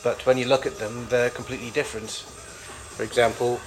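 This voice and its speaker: A man giving an informal, unscripted show-and-tell as a collector of old machines, in a halting, rambling way with frequent self-corrections. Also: mid-range voice, flat delivery, music in the background, low-quality recording. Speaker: collector showing vintage tape recorders.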